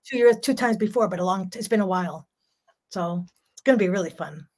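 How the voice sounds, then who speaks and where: A woman's voice: medium pitch, a little fast, a little mellow. An older woman, a jazz singer, chatting as a guest on a video call from her home, talking freely and laughing often. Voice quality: strong voice. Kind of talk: casual video-call interview. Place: home room.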